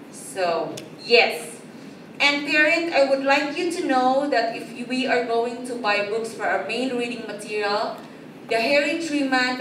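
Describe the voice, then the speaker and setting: Chatty woman teacher from the Philippines. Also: mid-range voice. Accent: American-sounding English. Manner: chatty, explaining with asides and checks like "right?" and "you know?". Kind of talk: school orientation talk to parents. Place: school room. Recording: echoey room, distant mic, noisy.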